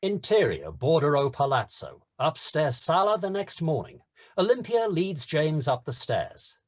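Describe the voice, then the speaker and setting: A man's voice: on the high side, sing-song, unhurried. A man reading stage directions as narrator in a scripted screenplay table read.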